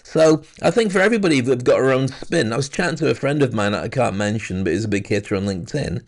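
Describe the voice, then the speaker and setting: Gravelly British man hosting a chat. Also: medium pitch, lively intonation, fast talker. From Britain. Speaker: host. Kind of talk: casual live-stream chat. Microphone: video call.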